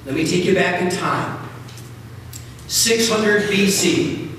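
A middle-aged American man preaching a sermon as a pastor, phrasing emphatically and deliberately with dramatic pauses. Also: sits high. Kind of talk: sermon from a pulpit. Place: large church sanctuary, at pulpit. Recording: very echoey church hall, distant mic, noisy.

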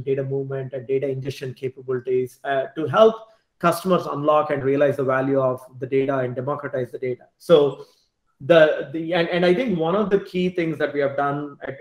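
A man giving an informal slide presentation on a video call, often restarting his words and adding filler asides. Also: medium pitch. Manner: repeats and restarts words, frequent "you know" asides. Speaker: tech team lead presenting at an online meetup. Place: bright room at home or small office, indoors. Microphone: computer mic on a video call. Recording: echoey room on video call.

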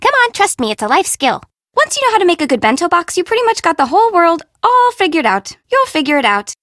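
A sassy young woman from a bento shop in a video game, pitched high with big, animated swings. She is bubbly and teasing, quick to turn sarcastic, and sounds dry and close. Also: no room sound, heavily acted. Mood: teasing, brisk. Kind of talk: video game character voice acting.

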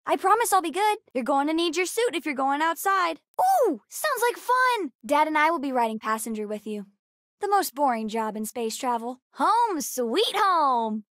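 A woman voicing a bright, curious, bookish young girl in a video game, speaking in an eager, earnest and cheeky way. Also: high-pitched, expressive, moderate pace, dry recording, studio quality. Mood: eager, chatty. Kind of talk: video game character voice lines.

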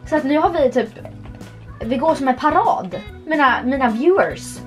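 A young woman with a high, bright-pitched voice chats playfully through a session of a horse game. She giggles often and talks to her webcam in a small room. Faint music plays under her talk. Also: lively intonation. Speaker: vlogger. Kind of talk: casual gameplay commentary.

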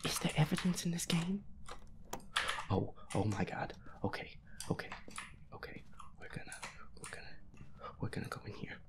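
A young man giving casual gameplay commentary on a horror game, swearing and muttering jitterily in a hushed, breathy voice. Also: a little high, very animated, moderate pace, pauses often. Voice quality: breathy, hushed, often whispering. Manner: profane, jittery, muttering to himself and at the game's ghost. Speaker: gamer playing a horror game.

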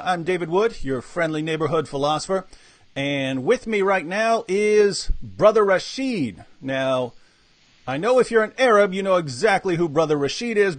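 Man, 40s, live video-call host, energetic, fillers and restarts, fairly high voice, dry close sound. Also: dry recording. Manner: energetic, lively intro with many fillers and restarts. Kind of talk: live online interview. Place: home room with bookshelves. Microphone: webcam video call.

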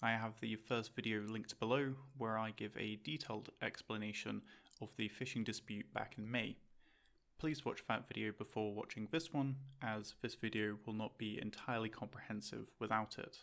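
A man's voice: fairly low pitch, fairly even intonation, normal speed, gravelly, fairly bright tone. Man narrating a scripted explainer. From Jersey, Channel Islands. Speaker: video host.

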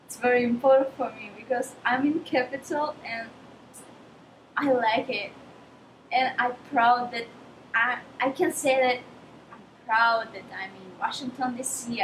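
A young woman with a Russian accent speaks in a fairly high voice. She gives a testimonial outdoors, with echo and clear background noise around her. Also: steady tone, measured pace, a bit bright. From Tatarstan, Russia. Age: 20s. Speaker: summer work participant, lifeguard. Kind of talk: on-camera testimonial. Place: outdoors by swimming pool.

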